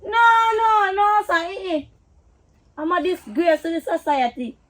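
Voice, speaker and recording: A woman's voice: high-pitched, normal speed, a bit bright. A woman with a Jamaican accent playing a feisty, scornful lover in a drama, scolding and repeating her words for emphasis. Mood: outraged, scolding.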